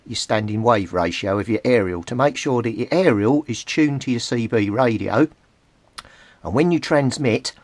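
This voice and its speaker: A man's voice: medium pitch, no echo. A middle-aged British man giving an informal, plain-spoken hands-on demonstration as a hobbyist. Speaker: CB radio hobbyist presenting a tutorial. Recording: clearly noisy amateur home recording.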